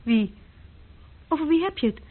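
High-pitched, lively young woman. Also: normal speed, no room sound. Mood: curious. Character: curious young woman in a radio play. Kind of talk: radio play dialogue. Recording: thin, phone-like old radio recording.

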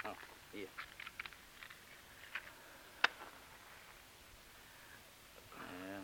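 A male actor playing a cautious, steady criminal accomplice in a radio drama, speaking in a measured, laconic, firm way. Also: deep voice, vocal fry, husky, heavily acted, rough recording. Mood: concentrated, grave. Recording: hissy old radio play recording.

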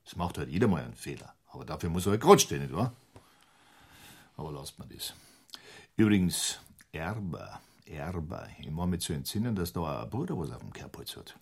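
A low-voiced, condescending Bavarian official from a period radio drama. His delivery swings widely in pitch and is slightly husky. He sounds patronising and very pleased with himself. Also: sing-song, fairly quick, heavily acted. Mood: smug, jovial. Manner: patronising, sardonic. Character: condescending, self-satisfied male provincial official in 1920s Bavaria. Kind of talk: radio crime drama acting.

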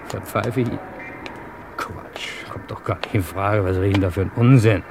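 A man's voice: fairly low pitch, normal speed, gravelly. An actor playing a nervy, scheming accomplice of a gangster in an old German radio crime drama, theatrical in his musing. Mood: nervous musing. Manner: theatrical, chuckling, musing aloud. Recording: noisy vintage radio play recording.

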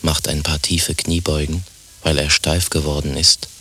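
A man's voice: extremely low pitch, a little slow, breathy edge, heavily acted. A man narrating a radio play as a dry, detached voice reading stage directions. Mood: deadpan. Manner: deadpan.

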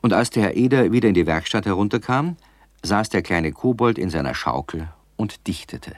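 An actor as the calm narrator of a children's radio play, telling the story from a script. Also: male voice, fairly low pitch, moderate pace, no room sound, close to an everyday voice. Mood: calm storytelling.

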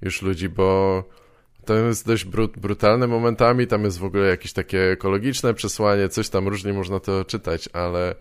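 Podcast host: man, lowish clean voice, informal and tentative, dry recording. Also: fairly even intonation, clean tone, no echo. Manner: halting, tentative phrasing. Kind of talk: casual conversation.

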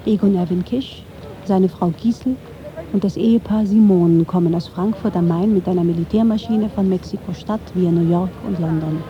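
A woman reading scripted documentary narration in a measured, factual storytelling manner. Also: fairly low pitch. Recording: slightly dull, band-limited sound.

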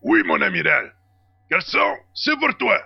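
A man playing a crusty, colorful old rogue in a science-fiction audio drama, gruff and exclamatory, with a gravelly, raspy voice. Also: sits high, very animated, unhurried, highs cut off, exaggerated voice. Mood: brisk. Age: older. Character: crusty, colorful old male rogue, agent's loyal sidekick.

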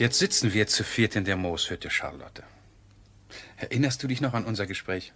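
An actor playing an enthusiastic, impulsive, amiable gentleman landowner in a radio drama. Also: on the low side, lively intonation, moderate pace, faint music behind. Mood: eager, playful. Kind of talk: German radio drama acting.

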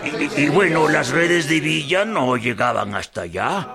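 An actor playing a veteran revolutionary fighter who reminisces with chuckles and stammers in a radio drama. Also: male voice, on the high side, lively intonation, gravelly, over music. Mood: amused. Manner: chuckling, halting, stammering storytelling.

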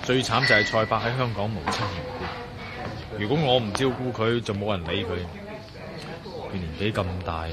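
A man's voice: fairly low pitch, normal speed, a loud crowd, natural voice. An actor playing a concerned, caring man in a radio drama, speaking earnestly with sighs and a Hong Kong Cantonese accent. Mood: worried. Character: concerned, caring man looking after an old friend.

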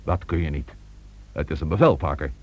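An actor playing a commanding, determined police chief with a terse, authoritative manner in a Dutch radio play. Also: male voice, extremely low pitch, a little fast, a little mellow, slight background noise. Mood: insistent, commanding.

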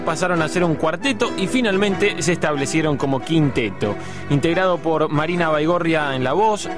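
Rioplatense guy hosting a radio show, kinda high voice, music going behind. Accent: Rioplatense Spanish. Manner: relaxed, joking, rambling host. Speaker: radio host. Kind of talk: casual radio chat. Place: radio studio.

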